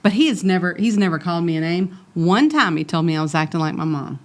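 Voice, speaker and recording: Woman, lower voice, host of a faith talk, earnest, personal. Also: lively intonation, fast. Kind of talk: casual conversation.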